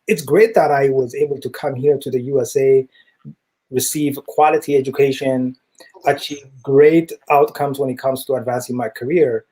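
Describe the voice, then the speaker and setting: Mellow East African man, earnest interview guest. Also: medium pitch. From East Africa, Ethiopia and Kenya. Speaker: interview guest, tech entrepreneur. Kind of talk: explanatory interview talk.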